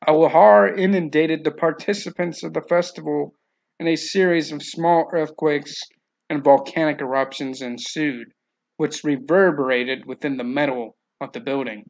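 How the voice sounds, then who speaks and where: A man's voice: on the high side, steady tone, normal speed, creaky. A man giving scripted narration of a novel, in a slightly dull, lo-fi recording.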